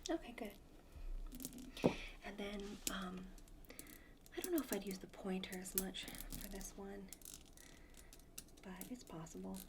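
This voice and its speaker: A woman playing a polite, hesitant presenter in a soft-spoken ASMR roleplay, breathy and often near-whispering into close microphones. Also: mid-range voice, slow, long pauses, heavy background noise. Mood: soft, tentative. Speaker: ASMR performer. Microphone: close sensitive ASMR microphones. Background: tapping and clicking of handled objects.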